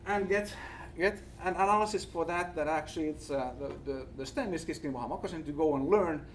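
Halting Finnish-accented man presenting, slightly distant. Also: a little high. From Finland. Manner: halting, searching for words. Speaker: academic researcher presenting. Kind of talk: conference presentation with slides. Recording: distant mic, faint background noise.

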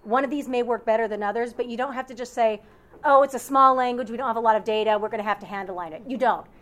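A woman's voice: fairly high pitch, quick pace, fairly bright tone. A woman presenting at an academic conference, answering audience questions about her research with an upbeat, explanatory manner.

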